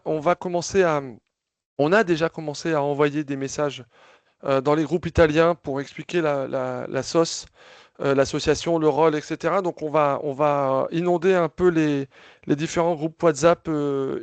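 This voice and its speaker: A man's voice: fairly high pitch, smooth, dry recording. A man hosting a video call for his association, briefing members in a casual, hesitant way with many fillers and restarts. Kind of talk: casual spoken briefing, moderating questions.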